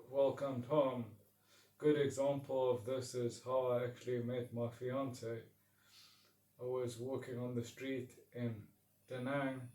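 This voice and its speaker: A man from South Africa with a South African accent, an English teacher speaking slowly and thoughtfully to camera, often pausing and restarting. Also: mid-range voice, mellow, recorded from a distance. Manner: slow and reflective, with restarts and pauses. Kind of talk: casual talk to camera. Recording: roomy sound, speaker away from the mic.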